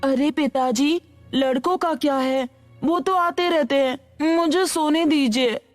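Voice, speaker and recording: A woman's voice: high-pitched, a little slow, soft background music. A woman voicing a bewildered, plain-spoken young village girl of marrying age in a storybook tale, dramatic and questioning. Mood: sleepy protest.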